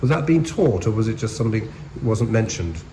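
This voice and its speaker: A man asks casual interview questions, haltingly rephrasing them as he goes. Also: lowish voice, big pitch swings, warm tone, slight background noise.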